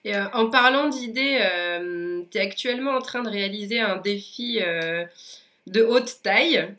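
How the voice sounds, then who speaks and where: A woman's voice: mid-range voice, unhurried, bright, forceful tone. A French woman hosting a casual interview with friendly, upbeat questions while she has a cold. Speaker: interviewer, host.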